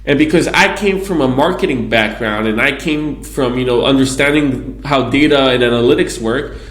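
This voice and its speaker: A man's voice: mid-range voice, moderate pace, fairly bright tone. A man from Israel, a guest on an interview podcast, telling his story in a casual, rambling way with plenty of asides. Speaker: guest, PR agency founder. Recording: echoey room.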